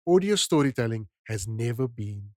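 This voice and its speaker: A man's voice: mid-range voice, lively intonation, moderate pace, warm tone, no room sound, studio quality. A man hosting a podcast, speaking directly to his listeners. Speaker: podcast host. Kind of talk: podcast narration and informal address to listeners. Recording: music bed behind the voice. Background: music.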